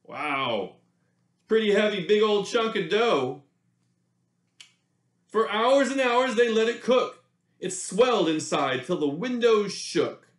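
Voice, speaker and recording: Animated American man reading to children. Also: sits high, takes it slowly, pauses often, roomy sound. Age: middle-aged. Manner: animated, theatrical, addresses young listeners directly. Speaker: children's read-aloud presenter. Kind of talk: expressive picture-book read-aloud with chatty asides. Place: small indoor room.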